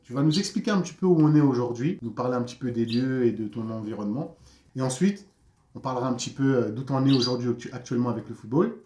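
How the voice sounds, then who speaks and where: Fast-talking male interviewer. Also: medium pitch, expressive, a little mellow, echoey room, a little hiss or hum. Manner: quick, chatty, many fillers and restarts. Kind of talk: casual interview. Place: outdoors in a neighbourhood. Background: friends chanting nearby.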